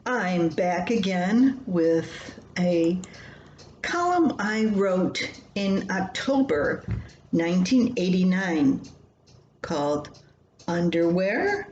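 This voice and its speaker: An older woman reads a humorous family column aloud as a storyteller. Her voice sits a little low and moves slowly, with a plain homespun delivery. The room adds noticeable echo, with faint noise underneath. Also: big pitch swings, darkish tone, lo-fi sound. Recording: roomy home recording with faint noise.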